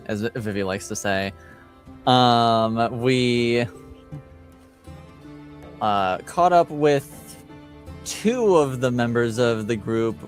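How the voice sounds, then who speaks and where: A man speaking casually and informally as game master and host, introducing a tabletop game stream. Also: medium pitch. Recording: music behind the voice. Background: music.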